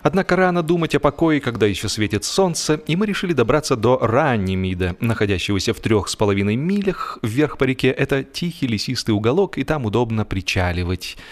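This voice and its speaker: A man reading a scripted humorous story as a narrator, with an expressive, theatrical delivery. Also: mid-range voice, brisk, few pauses. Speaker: audiobook narrator and performer.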